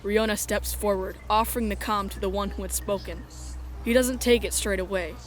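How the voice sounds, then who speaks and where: A woman's voice: medium pitch, fairly even intonation, moderate pace, faint music behind. A woman reading scripted first-person story narration as the narrator of a drama.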